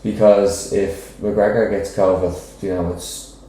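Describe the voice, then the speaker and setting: A man's voice: low voice, fairly even intonation, slow, mellow, slight background noise. A young man with a Dublin accent, a professional boxer chatting casually as a guest, rambling and often asking "do you know what I mean". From Ireland. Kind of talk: casual interview chat. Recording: very echoey room.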